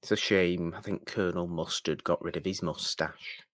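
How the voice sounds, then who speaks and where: A man's voice: low-pitched, fairly even intonation, normal speed, fairly bright tone, no echo, studio quality. A man with a British accent co-hosting a film podcast in casual chat, a bit mock-pedantic and hedging as he talks. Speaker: podcast co-host.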